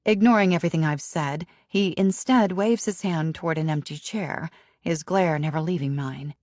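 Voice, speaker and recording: Woman reading a romance audiobook, low voice, emotional, dry close sound. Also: normal speed, dry recording. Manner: expressive, emotionally charged reading. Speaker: audiobook narrator. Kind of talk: first-person romance narration.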